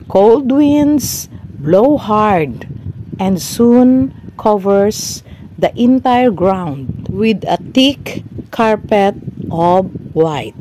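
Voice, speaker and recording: A woman reads a lesson script aloud in a mellow, fairly high voice. She goes deliberately, one phrase at a time, as a teacher would for reading practice. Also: a little hiss or hum, low-quality recording. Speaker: teacher and narrator.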